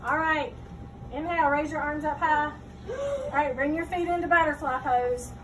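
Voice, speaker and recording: High-voiced woman teaching yoga, distant and noisy. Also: normal speed. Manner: instructing step by step with frequent check-in questions. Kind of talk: leading a children's yoga class with short spoken cues. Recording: distant mic, clearly noisy room.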